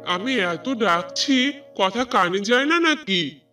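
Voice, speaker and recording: A man voicing an indignant village husband in a cartoon, loud and demanding. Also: high-pitched, animated, slow pace, far from the mic, music in the background. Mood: suspicious, upset. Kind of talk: cartoon voice acting.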